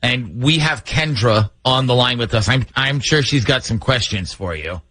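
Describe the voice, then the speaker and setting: A man's voice: medium pitch, animated, moderate pace, pushed and bright, no echo. A man hosting a morning radio show, talking through a prank call segment.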